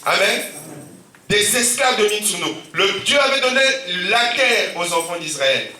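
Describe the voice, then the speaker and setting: A pastor with a Central African French accent preaches with a sore, stuffy throat, repeatedly calling out amen and alleluia. His voice is bright and fairly high for a man. He is heard through a roomy church, picked up from some distance away. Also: expressive, normal speed, slight background noise. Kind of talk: sermon. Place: church hall.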